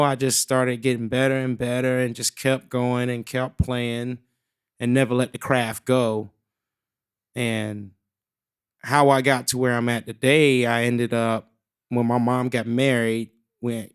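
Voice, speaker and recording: A young man from South Carolina, telling his story in a relaxed, rambling way as a guest on a casual interview show. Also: medium pitch, clear, resonant tone. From South Carolina, USA. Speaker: guest musician.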